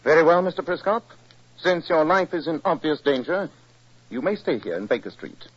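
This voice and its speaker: British detective: courteous, observant, husky mid-pitched voice with lively inflection, vintage radio play, dulled recording. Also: male voice. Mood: calm, dryly amused. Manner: measured, precise, understated. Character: cool, courteous, observant male English detective. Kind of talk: 1940s radio acting. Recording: muffled, band-limited old radio recording.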